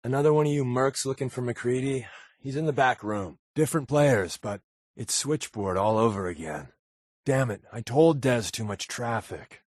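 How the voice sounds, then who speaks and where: A man playing a wry, sarcastic spy companion in a video game, with a deadpan, flippant delivery. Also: mid-range voice, normal speed, dry recording, studio quality, natural voice. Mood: dry, sardonic.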